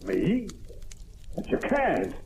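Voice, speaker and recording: A man's voice: high voice, wildly swooping pitch, very slow, full character voice. An actor playing an anxious, stammering man accused of murder in an old-time radio crime drama. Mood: panicked. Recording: noisy vintage radio recording.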